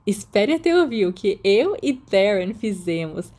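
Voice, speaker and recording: A Brazilian woman voicing a playful, boastful teenage schoolgirl in an audiobook story, with a teasing, lively manner. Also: mid-range voice, a little slow. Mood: playful boasting. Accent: Brazilian Portuguese.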